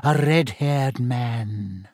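A man voicing a dreamy, eerie woman seer, speaking slowly and softly in a misty voice. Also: mid-range voice, no room sound, studio quality. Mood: mystical. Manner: slow, soft, drawn-out delivery.

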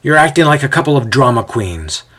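A man's voice: medium pitch, very animated, brisk, pushed and bright, husky, pitched above the performer's own voice, exaggerated voice. A man voicing a grumpy, out-of-shape man in an audio storybook, exasperated and full of sarcastic retorts. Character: bent-over wheezing man, grumpy and sarcastic.

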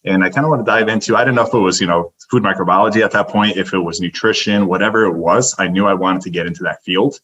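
A young man from Turkey, a native Turkish speaker, speaks in a very low voice. He tells his story in a detailed, earnest way, as a dietitian guest on a podcast. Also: fast, few pauses, fairly bright tone, breathy edge, highs cut off. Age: young adult. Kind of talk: podcast interview.

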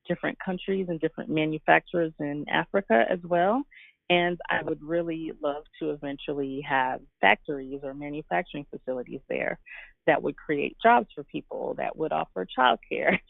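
A woman's voice: deep voice, vocal fry, dry recording, phone-quality sound. A woman from Guyana giving earnest, reflective, conversational answers as an interview guest. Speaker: interview guest, fashion designer and business owner.

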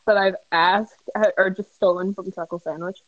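A woman's voice: mid-range voice, fairly even intonation, moderate pace, no echo. A young woman on a group call with friends, laughing often and explaining things patiently. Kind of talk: casual chat. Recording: dull muffled computer mic.

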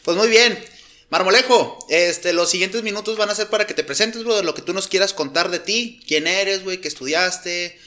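A man's voice: high-pitched, normal speed, sharp tone. A man hosting a casual podcast, slangy and laughing, with many fillers. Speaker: podcast host.

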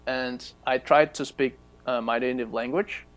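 Man from Kyrgyzstan, unhurried storyteller. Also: medium pitch, fairly even intonation. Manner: thoughtful, explains at length with pauses. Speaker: podcast co-host. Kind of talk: casual chat.

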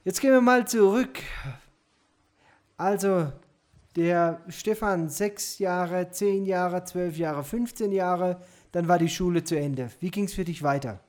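A man hosting an interview podcast over a video call, greeting his listeners warmly and asking measured questions. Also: high voice. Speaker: podcast host.

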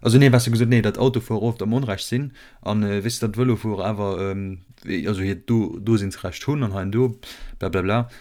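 A man's voice: fairly low pitch, fairly even intonation, a little fast, dark tone. A man with a Luxembourgish accent chatting casually as a podcast co-host, rambling with many ums and frequent laughs. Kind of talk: casual chat.

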